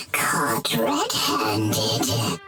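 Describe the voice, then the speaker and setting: A woman voicing a sneering, scheming monster mage in a video game, theatrical and gloating with drawn-out words. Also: extremely low pitch, exaggerated voice. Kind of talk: video game villain acting. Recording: loud music behind the voice.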